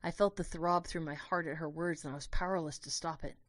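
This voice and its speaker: A woman giving a dramatic first-person narration of a scripted story, in a measured, literary and expressive way. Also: low voice, no room sound.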